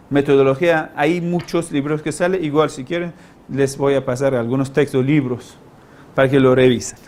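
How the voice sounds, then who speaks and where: A man with a non-native, foreign accent giving an informal lecture as a teacher, didactic and halting, stressing key words and posing rhetorical questions. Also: on the high side, a little mellow. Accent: foreign, non-native Spanish. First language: not Spanish. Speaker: language-learning teacher, lecturer.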